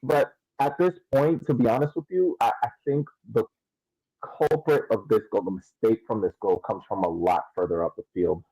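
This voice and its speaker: A man with a mellow, slightly husky voice gives casual sports analysis as a co-host. He explains things in a measured way, recorded close and dry. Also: on the low side, dry recording, rough recording. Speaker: podcast co-host.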